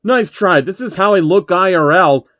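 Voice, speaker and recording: A hot-headed, cocky male game streamer from a fan story, acted out by a male narrator. He whines indignantly in a raised, very animated voice. The recording is dry and slightly dull. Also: high voice, normal speed, no room sound, pitched above the performer's own voice. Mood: suspicious, irritated. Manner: complaining, indignant outbursts.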